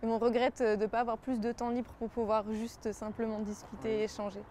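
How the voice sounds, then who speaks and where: A woman's voice: a little high, flat delivery, a little fast, dark tone, a little hiss or hum. A young woman, a student, talking casually in an interview. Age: young adult.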